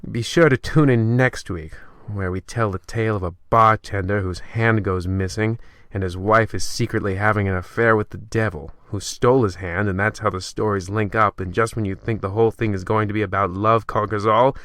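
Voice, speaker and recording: A man's voice: on the low side, fairly even intonation, moderate pace, few pauses, fairly bright tone, no room sound. A man playing a smug, rambling host of an old-time horror radio show, hammy and pleased with himself. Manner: hammy, self-congratulating, rambling. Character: smug, bumbling horror-anthology radio host, self-satisfied. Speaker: narrator and host.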